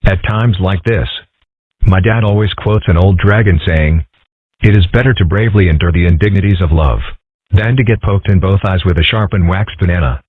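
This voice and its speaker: Man as cartoon kid, mild and dry-witted, deadpan, husky low voice, thin band-limited sound. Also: normal speed, dry recording. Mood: wry, resigned. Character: ten-year-old cartoon dragon-raised boy, calm, dry-witted. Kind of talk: comic strip dub. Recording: muffled band-limited audio.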